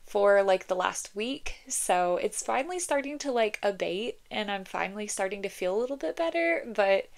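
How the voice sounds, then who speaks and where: A woman's voice: mid-range voice, lively intonation, moderate pace, vocal fry. Young woman, slightly stuffy voice, chatty, lots of fillers, home room by the camera. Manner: chatty, frequent "like" and "um", reads blurbs aloud. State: slightly congested from a cold. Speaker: book vlogger. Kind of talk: casual chat and blurb reading.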